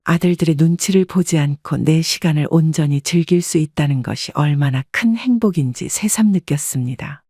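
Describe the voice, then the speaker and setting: A woman calmly narrating a first-person life story. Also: low voice, moderate pace, few pauses, breathy edge, no room sound, clean studio sound.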